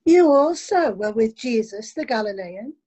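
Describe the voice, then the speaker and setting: A woman's voice: a little high, lively intonation, a little slow, mellow, husky. A woman reading the part of an accusing witness in a dramatic scripture reading. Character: accusing witness, servant girl or bystander.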